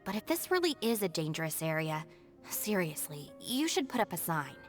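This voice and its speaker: A woman's voice: on the low side, very animated, moderate pace, soft background music. A woman voicing a level-headed young female deputy in a video game, sensible, polite and wryly exasperated.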